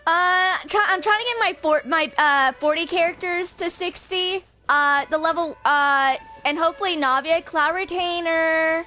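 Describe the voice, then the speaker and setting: A woman's voice: extremely high pitch, measured pace, hardly any pauses, bright, forceful tone, soft background music. A woman in her twenties doing casual, rambling game commentary as she thinks aloud. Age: 20s. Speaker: video game streamer.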